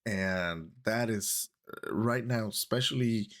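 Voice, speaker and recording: A man's voice: on the low side, slow, fairly bright tone. A man in his thirties with a Puerto Rican accent, chatting casually to his viewers and rambling a little. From Puerto Rico. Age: 30s. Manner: chatty, rambling, switches into Spanish phrases. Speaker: YouTube creator. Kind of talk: casual talk to camera.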